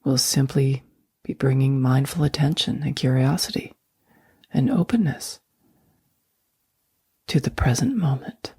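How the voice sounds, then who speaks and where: A woman guiding a sleep meditation in a soft, breathy near-whisper, speaking slowly and gently with long, soothing pauses. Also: very deep voice, expressive, dry recording. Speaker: meditation guide.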